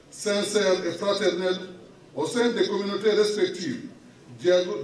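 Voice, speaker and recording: A man with a Cameroonian accent solemnly reading a formal public declaration in short, measured phrases, as an official would. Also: high voice. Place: municipal hall at a public meeting. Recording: echoey hall, distant microphone.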